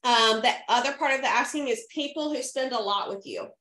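A woman's voice: on the high side, fairly quick, sharp tone. Woman in her 30s, kinda froggy from a cold, peppy coaching over webcam, roomy echo. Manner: upbeat, coaching, checks in with "right?" and "okay?". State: hoarse from a summer cold. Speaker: direct sales team leader. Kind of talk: training talk to a sales team. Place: home room, indoors. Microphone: webcam on a video call. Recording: echoey room.

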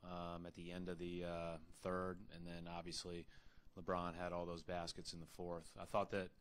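A young man speaking as head coach at a postgame press conference into a table mic, measured and full of fillers and restarts. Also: sits low. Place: arena press room.

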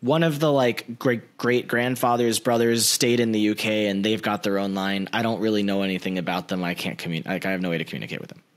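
A man in his thirties hosting a podcast, talking in an animated way into a large studio mic in a small room at home. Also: fairly low pitch, quick pace, few pauses. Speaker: podcast host. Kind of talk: casual interview talk. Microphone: large studio mic with headphones.

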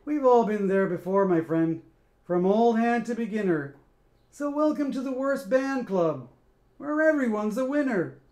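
A man's voice: high-pitched, lively intonation, slow, a little mellow. A man reading a picture book aloud, voicing a cool, mocking male alien who leads a junkyard band, with a smug, drawling manner. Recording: roomy echoey room.

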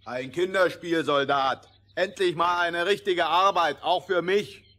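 A man's voice: on the high side, a little slow, bright, forceful tone. An actor playing a boastful, brawny strongman in a fairy-tale radio play. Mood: cheerful, confident.

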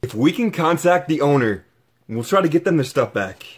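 Young guy vlogging, super excited, a bit husky, handheld cam up close. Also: a little high, moderate pace. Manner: excitable, exclaiming over finds. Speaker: exploration vlogger. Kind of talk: excited vlog narration.

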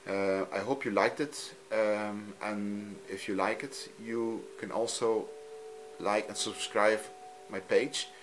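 A man from Belgium, a native Dutch speaker with a Dutch accent, talking off camera in a halting, simple way while he films his TV screen at home. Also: lowish voice, fairly even intonation, background noise. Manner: halting, frequent ums, simple non-native phrasing. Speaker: hobbyist youtuber. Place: room at home, filming a TV screen.

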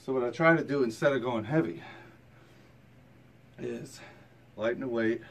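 A man's voice: mid-range voice, normal speed. A man in his thirties, tired from exercise, talking casually and plainly to camera in a workout vlog. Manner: plain-spoken, colloquial, mild swearing. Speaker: fitness vlogger. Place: rooms at home and a home gym. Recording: noisy handheld camera audio with ambience.

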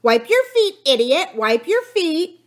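A woman voicing an excitable female parrot in a storybook, screeching and yelling scolding phrases. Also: very high voice, a little slow, pitched above the performer's own voice, exaggerated voice. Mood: excited, bossy.

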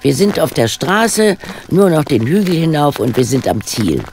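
Dry, gravelly woman sleuth. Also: low-pitched, sing-song, moderate pace, a little mellow, a little hiss or hum. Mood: dry, teasing. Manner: dry, wry, decisive. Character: level-headed, teasing woman sleuth, taking charge. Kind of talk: radio drama acting.

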